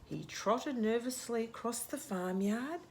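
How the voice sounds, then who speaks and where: A woman reading a picture book aloud to young children as a storytime host, expressive, with hushed asides and questions to the listener. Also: fairly high pitch. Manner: animated, expressive, asks questions and pauses for answers.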